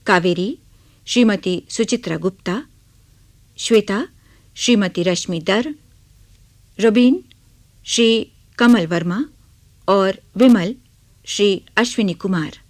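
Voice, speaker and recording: A woman announces for radio at an unhurried pace in a dry studio. Her mid-pitched delivery is formal and measured, reading from a script. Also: a little mellow, no echo. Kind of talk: scripted closing credits announcement.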